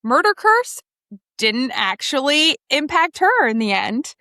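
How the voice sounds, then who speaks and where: Female podcast co-host, higher-pitched and bright, conversational book chat. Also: very animated, slow, dry recording, studio quality. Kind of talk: casual book discussion chat.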